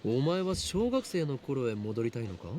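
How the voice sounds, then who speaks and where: An actor playing a grandiose, theatrical young self-styled mad scientist in a Japanese visual novel, with a pompous, dramatic delivery. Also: male voice, medium pitch, big pitch swings, fast talker, a little mellow, natural voice. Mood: matter-of-fact.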